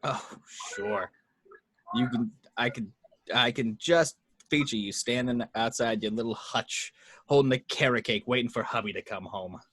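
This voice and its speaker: Male private eye, acted by a man, cynical, sardonic, mid-pitched, dry sound, amateur film script reading. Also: normal speed, a bit bright, no echo. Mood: sarcastic. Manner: sardonic, gruffly dismissive. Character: cynical, sarcastic hard-boiled male private detective.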